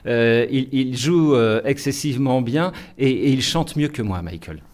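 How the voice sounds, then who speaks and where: A man's voice: mid-range voice, animated, a little slow, darkish tone. Male interviewer, conversational, repeats words and fills with "euh". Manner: chatty, with stammered repeats and "euh". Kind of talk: interview questions.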